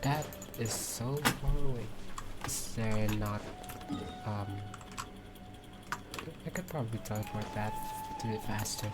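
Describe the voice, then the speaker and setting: A man giving casual live gameplay commentary, halting and thinking aloud as he plays. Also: lowish voice. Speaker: game streamer. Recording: loud game music behind voice. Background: game music.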